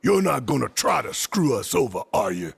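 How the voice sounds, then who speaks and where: An actor playing a gruff, suspicious, hot-tempered fighter in a video game, gravelly and blunt. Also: male voice, medium pitch, moderate pace, heavily acted. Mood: suspicious, agitated. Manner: blunt, excitable.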